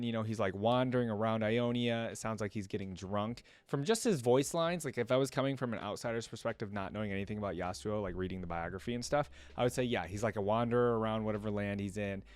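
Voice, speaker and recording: A man's voice: mid-range voice, smooth, no echo. A man hosting a reaction video, giving casual, rambling, conversational commentary.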